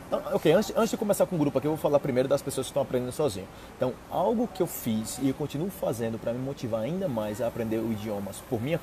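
A man's voice: mid-range voice, warm tone, a little hiss or hum. A young Brazilian man with a Brazilian Portuguese accent, a Portuguese teacher chatting casually to viewers, checking in often with "ok?". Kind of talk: casual live-stream chat. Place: outdoors on a city street with trees. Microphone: earphone mic on a phone held close.

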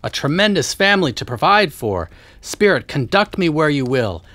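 A woman's voice: sits low, very animated, normal speed, a bit bright, dry recording, pitched below the performer's own voice, full character voice. A woman voicing a humbled, hesitant old male miser who stammers as he speaks. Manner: stammering, subdued. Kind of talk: audiobook character reading.

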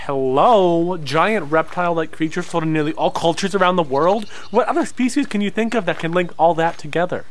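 A man acting an eager, grumbling boy in an audio drama, whiny and excitable. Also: on the high side, fairly quick, few pauses, lightly acted. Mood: excited.

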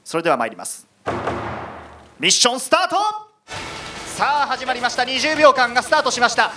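Male MC, very high voice, rapid excited commentary, dramatic announcer polish. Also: faint music behind. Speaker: event MC and announcer. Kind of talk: excited live play-by-play commentary and hosting. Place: large theater stage at a live event.

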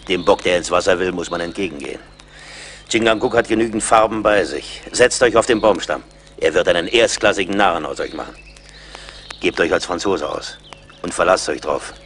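Deep-voiced, wry frontier woodsman. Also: normal speed, pauses often, a bit bright, a little hiss or hum. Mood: resigned, wry. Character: seasoned, wry frontier woodsman, brave and commanding. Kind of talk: German radio play acting.

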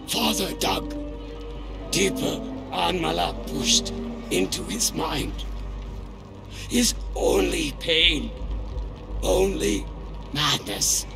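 An actor playing a solemn, foreboding alien mystic in a video game, with halting broken speech and a gravelly, breathy voice. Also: male voice, on the high side, exaggerated voice. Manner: halting broken speech, dropped words, long pauses. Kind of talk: dramatic game cutscene acting. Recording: roomy sound under loud cinematic music.

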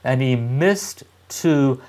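A man in his sixties reading a children's story aloud expressively, with deliberate pauses and dramatic emphasis. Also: mid-range voice, expressive, darkish tone. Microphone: lapel mic.

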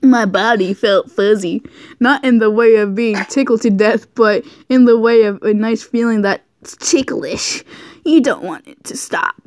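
A woman's voice: mid-range voice, no echo, clean studio sound. A giggly woman goofing around as she reads fan fiction aloud, often stumbling over the text.

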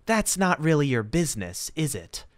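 A man's voice: on the high side, big pitch swings, normal speed, no room sound, studio quality, pitched above the performer's own voice. Man voicing an aloof, curt teenage schoolgirl. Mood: cool, defensive. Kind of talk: dramatic manga reading.

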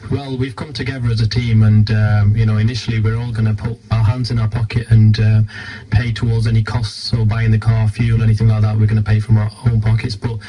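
Man, British accent, mellow and husky, flat intonation, earnest explainer, informal radio guest. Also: on the low side, a little fast, rough recording. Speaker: charity team leader, radio interview guest.

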